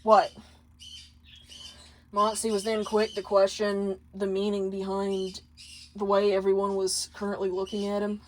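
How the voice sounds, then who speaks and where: A woman's voice: medium pitch, completely flat delivery, moderate pace, slight background noise, rough recording. A woman reading a story aloud as scripted narration, occasionally stumbling and correcting her words. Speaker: fan fiction reader.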